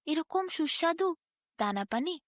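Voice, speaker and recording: A woman's voice: high voice, lively intonation, unhurried, no room sound, studio quality, pitched above the performer's own voice. Woman voicing a plaintive, envious, overworked storybook ox. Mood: plaintive envy. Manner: plaintive, complaining. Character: envious, overworked male ox lamenting his lot.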